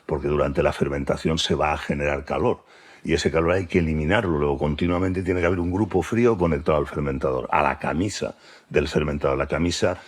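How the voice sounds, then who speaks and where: A man's voice: very deep voice, slightly rough. A man with an accent from Spain, giving an unscripted expert explanation in a didactic way, often checking that he is understood. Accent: Spanish from Spain. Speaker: science expert explaining brewing.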